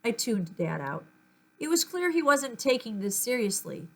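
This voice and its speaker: A woman reading a children's story aloud in an expressive, dramatic storytelling manner. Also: on the high side, normal speed. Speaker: audiobook-style reader.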